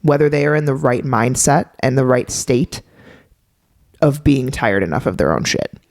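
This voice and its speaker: A young adult woman co-hosting a health podcast, talking in a chatty, quick way with frequent laughs. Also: rumbling low, expressive, darkish tone, no room sound, clean studio sound. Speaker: podcast co-host, health coach. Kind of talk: casual podcast chat.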